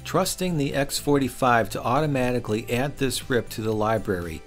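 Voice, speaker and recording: A man's voice: medium pitch, normal speed, soft background music. A middle-aged man reads a scripted product review voice-over in a wry, opinionated way. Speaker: reviewer and host.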